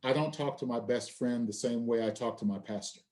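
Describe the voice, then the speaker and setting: A man's voice: mid-range voice, a little fast, darkish tone. A man moderating a panel, thanking speakers and steering the discussion.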